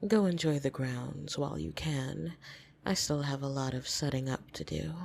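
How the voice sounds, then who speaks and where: A woman playing a fond, teasing, possessive vampire in an intimate ASMR roleplay, speaking softly and often slipping into whispers. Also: extremely low pitch, heavily acted. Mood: wry, fond. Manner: soft, breathy, teasing, often dropping into whispers. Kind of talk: intimate ASMR roleplay, scripted monologue to listener. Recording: music behind the voice.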